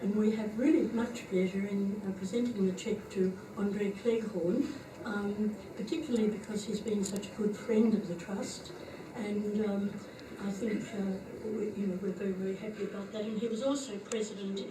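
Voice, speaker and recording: A soft-spoken woman from a charitable trust presenting a cheque at an evening function, halting with fillers. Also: mid-range voice, steady tone. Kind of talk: formal presentation speech. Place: function room at an evening party. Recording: echoey room, far-off mic, background noise.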